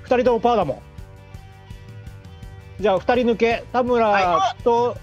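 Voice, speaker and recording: A man's voice: very high voice, a little slow, highs cut off. A young man hosting casual banter among comedians, recorded over a video call. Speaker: host of a comedians' live stream. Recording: video call with music behind.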